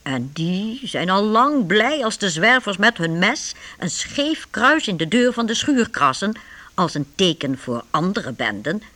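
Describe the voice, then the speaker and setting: Slow, animated radio drama narrator. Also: mid-range voice.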